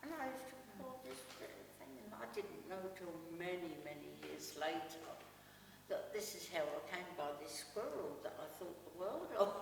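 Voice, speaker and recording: Woman, 70s, low-pitched, hesitant rambling reminiscence, distant in a reverberant hall. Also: lively intonation, moderate pace, creaky, a crowd in the background. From Devon, England. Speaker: reminiscence group participant. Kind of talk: casual reminiscing. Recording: echoey hall, distant mic, noisy.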